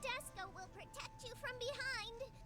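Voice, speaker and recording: Very high childlike little-sister demon girl. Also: normal speed. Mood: admiring, eager. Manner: adoring, speaks of herself in third person. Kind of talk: anime-style video game voice acting. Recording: very loud game music underneath.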